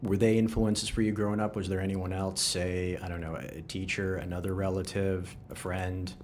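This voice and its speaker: Male podcast host, lowish voice, easygoing interviewer, faint hiss behind. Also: level tone, normal speed. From Canada. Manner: friendly, chatty questions with fillers. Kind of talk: conversational interview.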